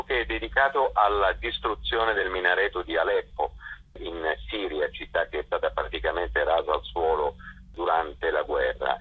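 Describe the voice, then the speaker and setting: A man giving an unscripted radio interview, presenting a programme in long enumerating explanations with hesitant pauses. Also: deep voice, husky. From Italy. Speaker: festival director being interviewed. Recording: muffled, band-limited phone line.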